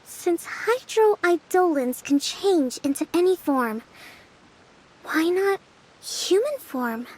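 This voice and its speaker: A woman voicing a gentle, thoughtful young female general who reasons aloud in a measured, composed way for a video game. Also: very high voice, expressive, exaggerated voice, low-quality recording. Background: faint music.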